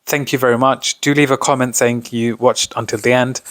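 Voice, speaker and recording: A male tutor walks students through revision material in an instructional way. His voice sits at a middle pitch, and the sound is dry with very little room on it. He tends to set up questions and then answer them himself. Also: level tone, a little fast, fairly bright tone, no room sound. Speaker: tutor presenting exam revision. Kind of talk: explanatory revision lesson.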